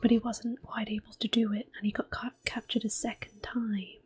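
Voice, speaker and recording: A young woman whispering gentle, unhurried commentary while playing a video game for an ASMR video. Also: a little high, little pitch movement, slightly rough. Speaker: ASMR gaming host.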